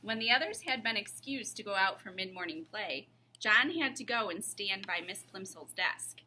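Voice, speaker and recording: A woman's voice: medium pitch, moderate pace, bright, forceful tone, a little hiss or hum. A woman reading a children's storybook aloud as narrator. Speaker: reader.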